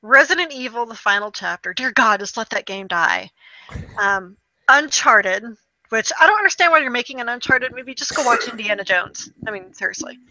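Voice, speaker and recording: A woman's voice: fairly high pitch, animated, normal speed, pushed and bright, no room sound, rough recording. A woman co-hosting a video game show in casual chat, running through a list with wry, dismissive asides.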